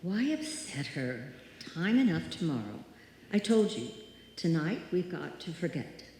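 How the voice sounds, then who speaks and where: A woman acting a bossy, unflappable lady in a radio suspense play. Her voice is mellow but firm and dismissive, ringing heavily through a live hall. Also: sits low, sing-song, measured pace, slight background noise, full character voice. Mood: firm, insistent. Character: brisk, stubborn woman refusing to be frightened. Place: live stage performance in a hall.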